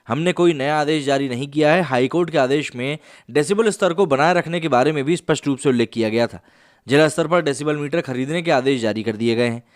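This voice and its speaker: A young man reading news reports for his online channel, mostly in formal phrasing with casual asides to the viewers. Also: a little high. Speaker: news commentator.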